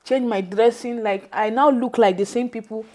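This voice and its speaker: A woman's voice: a little high, fairly even intonation, moderate pace, dark tone. A Nigerian woman whose first language is Yoruba, telling her own story in a loose, conversational way with many fillers and restarts.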